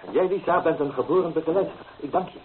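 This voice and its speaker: A man's voice: on the high side, fast talker, natural voice. A man playing a teasing, flamboyant young bohemian painter in a radio play, theatrical and playfully mocking. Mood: playful, breezy. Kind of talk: Dutch radio play acting. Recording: tinny old radio recording with hiss.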